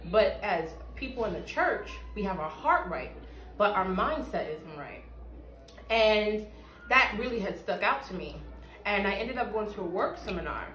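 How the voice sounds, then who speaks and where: A woman's voice: mid-range voice, lively intonation, moderate pace, a bit bright, a little hiss or hum. Earnest woman testifying in an echoey room. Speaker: church member giving a testimony. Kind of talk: spoken testimony in an interview. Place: small indoor room with bare walls, seated. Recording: echoey room, mic at a distance.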